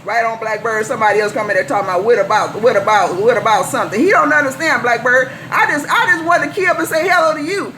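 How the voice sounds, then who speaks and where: A woman's voice: on the high side, fast talker, hardly any pauses, sharp tone. An American woman chatting on CB radio in a playful, boastful way, chanting repeated phrases. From California, US. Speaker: CB radio operator. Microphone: CB radio microphone. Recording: noisy CB radio transmission.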